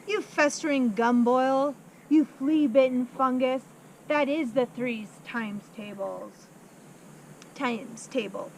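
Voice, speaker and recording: A woman voicing a huge, brutal headmistress who bellows slow, heavy insults at a child in a storybook reading. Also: sits high, expressive, slight background noise, rough recording. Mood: bullying.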